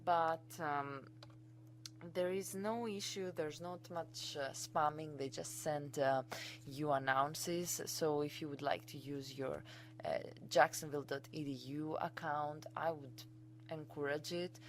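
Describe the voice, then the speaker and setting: A woman's voice: deep voice, steady tone, unhurried, slight background noise. A woman with a Greek accent, a native Greek speaker, giving an enthusiastic, chatty screencast tutorial as a college instructor.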